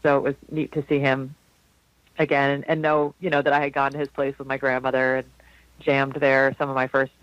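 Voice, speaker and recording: A man in his forties from South Florida, a jazz singer with a low, slightly husky voice, telling rambling stories in a casual interview with frequent restarts. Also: completely flat delivery, a little fast, no room sound, lo-fi sound. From South Florida, USA. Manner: rambling, warm anecdotes with frequent restarts and laughs.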